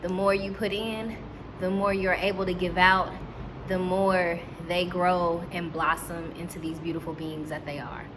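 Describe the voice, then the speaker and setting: A woman teacher hosting a children's storytime, speaking to young listeners and caregivers in an animated, encouraging way. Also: lowish voice, unhurried, background noise.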